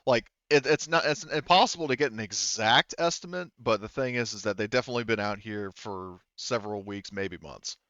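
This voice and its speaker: A man's voice: fairly low pitch, brisk, hardly any pauses, a bit bright, clear, resonant tone, no room sound. A man running a tabletop role-playing game, explaining things casually in a rambling way full of asides, on slightly dull audio. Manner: rambling, frequent 'like' asides. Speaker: game master.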